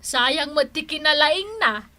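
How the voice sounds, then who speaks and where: A woman's voice: sits high, animated, slow, pushed and bright, exaggerated voice. A woman playing a doting elderly mother and grandmother in a radio drama, fussing and exclaiming with an Ilocano Filipino accent. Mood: delighted, indulgent.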